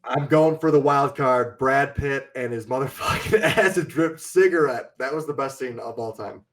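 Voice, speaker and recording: A man co-hosting a casual movie podcast, excitable and jokey. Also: mid-range voice, normal speed. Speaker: podcast co-host.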